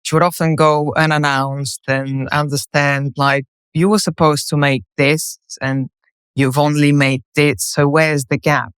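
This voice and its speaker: A woman with a Bulgarian accent speaks as an interview guest, telling her story conversationally. Her voice is smooth and clear, recorded very dry. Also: sits low, flat delivery, unhurried, no echo. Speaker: podcast guest, company co-founder.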